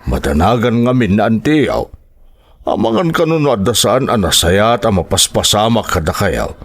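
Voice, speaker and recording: An actor with a Filipino Ilocano accent playing a concerned, prying older uncle with a husky voice. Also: male voice, fairly low pitch, sing-song, normal speed, heavily acted. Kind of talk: radio-style drama acting.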